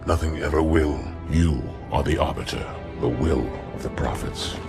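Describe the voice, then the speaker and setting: An actor playing a hard, contemptuous alien elite commander in a game cutscene, speaking with cold, blunt menace. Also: male voice, a bass voice, normal speed, creaky, loud music behind the voice. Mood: cold contempt. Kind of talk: dramatic game cutscene acting.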